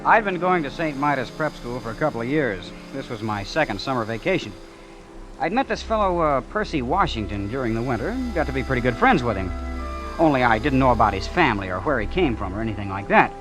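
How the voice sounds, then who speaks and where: A man's voice: medium pitch, big pitch swings, fast talker, natural voice, low-quality recording. An actor playing an eager, naive prep-school young man from a small town, folksy and chatty, in a 1940s radio drama. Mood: reminiscing, hesitant. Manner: folksy, boyish, hesitant storytelling. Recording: vintage radio recording with music behind.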